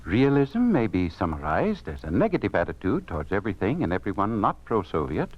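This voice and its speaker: An actor playing a smug, cold communist censor in a 1950s radio drama, pompous and formal with polite menace. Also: male voice, on the low side, huge pitch swings, brisk. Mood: stern, doctrinaire. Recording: muffled old radio recording.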